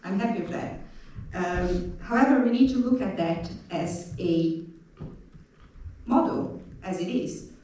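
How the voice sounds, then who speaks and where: A woman's voice: medium pitch, a little slow, pauses often, a little hiss or hum. Chatty woman panelist in an echoey room. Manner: lively and chatty, with light joking. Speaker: panelist, senior lecturer. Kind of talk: opening remarks on a panel. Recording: very echoey room, distant mic.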